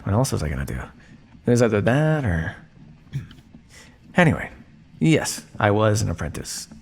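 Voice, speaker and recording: Male actor as vampire scientist captor for audio roleplay: creaky, swooping delivery, smug and theatrical, some hiss on the recording. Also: fairly low pitch, big pitch swings, full character voice. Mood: matter-of-fact, cool. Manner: smug, measured, teasing, theatrical. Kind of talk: ASMR roleplay monologue addressed to listener.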